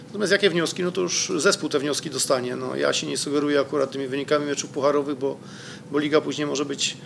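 Gravelly middle-aged coach at a press table. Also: male voice, on the high side, steady tone, quick pace, a little hiss or hum. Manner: hesitant, many fillers and restarts. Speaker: football coach. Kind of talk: press conference answers. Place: press room in front of a sponsor wall. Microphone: desk microphone.

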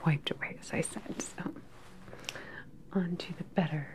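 A woman playing a calm, controlling evil scientist in a sci-fi ASMR roleplay, speaking in a soft, breathy, soothing clinical whisper. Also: close to an everyday voice. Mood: calm, soothing menace. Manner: slow, soothing, clinical personal attention. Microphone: close mic. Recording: faint background lab ambience noise. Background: low sci-fi lab ambience.

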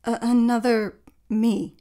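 An actress playing a bewildered, insistent woman in an audio drama, questioning urgently and repeating herself in halting bursts. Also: on the high side, flat delivery, dark tone. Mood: alarmed, pleading. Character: bewildered, insistent wife stranded in a strange world.

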